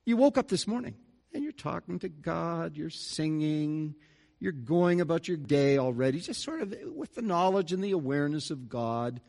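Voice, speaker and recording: A man's voice: on the high side, animated, moderate pace, vocal fry, darkish tone. A middle-aged man, a pastor delivering an earnest, conversational sermon with folksy asides. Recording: reverberant church hall.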